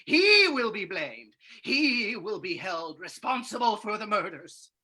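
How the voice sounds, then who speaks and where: A woman's voice: medium pitch, big pitch swings, bright, forceful tone, breathy edge, heavily acted. A woman playing a vengeful, murderous male villain in a theatrical, menacing old-time radio drama reading. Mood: bitter, vengeful. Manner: theatrical, menacing, drawn-out delivery.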